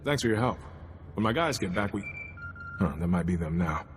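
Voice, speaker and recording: An actor playing a gruff, weary and practical military commander in a video game, brisk and blunt. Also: male voice, deep voice, lively intonation, long reverb tail, slight background noise. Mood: relieved. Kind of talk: video game cutscene acting.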